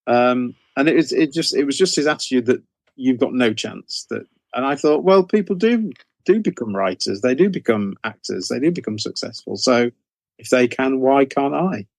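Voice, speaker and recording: A man's voice: medium pitch, animated, moderate pace, creaky, dry recording. A British man in his sixties telling anecdotes informally as an interview guest, often circling back on his phrases. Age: 60s. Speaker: interview guest, horror author. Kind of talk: conversational storytelling in an interview.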